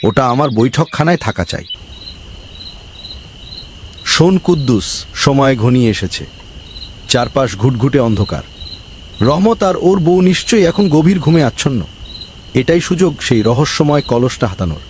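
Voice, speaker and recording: Man acting a greedy, bossy village councilman, wildly animated. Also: mid-range voice, normal speed, pauses often, a little hiss or hum, natural voice. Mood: greedy, scheming. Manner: bossy, scolding, conspiratorial. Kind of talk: cartoon voice acting.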